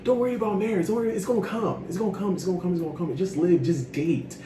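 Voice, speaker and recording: Young man, 20s, frank advice vlogger, animated and profane, mic set off at a distance. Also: sits high, racing. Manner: animated, frank, swears freely, sassy asides. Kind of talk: casual advice monologue. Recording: roomy echo, distant from mic, noisy.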